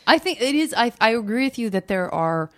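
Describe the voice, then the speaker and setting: A woman hosting a podcast in casual chat, playful and animated as she thinks out loud. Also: a little high, brisk, dry recording, studio quality. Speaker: podcast host.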